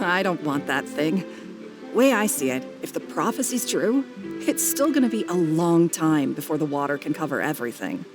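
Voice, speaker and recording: A woman's voice: low voice, expressive, moderate pace, over music. A woman voicing an easygoing townswoman in a game cutscene, talking breezily. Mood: breezy. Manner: breezy, casual, a bit dismissive. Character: easygoing townswoman living in the moment. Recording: game score underneath.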